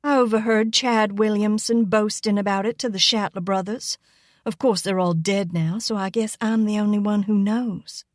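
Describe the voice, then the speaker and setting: A small, shrewd younger cousin, played by a female narrator, calm and pointed. She answers casually with quiet defiance, in an audiobook character style at a medium female pitch. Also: normal speed, dry recording, clean studio sound, natural voice.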